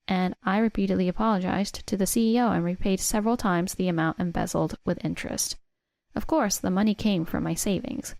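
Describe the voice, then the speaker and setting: Creaky-voiced woman narrating a story. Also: medium pitch, darkish tone, no echo. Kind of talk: scripted first-person story narration.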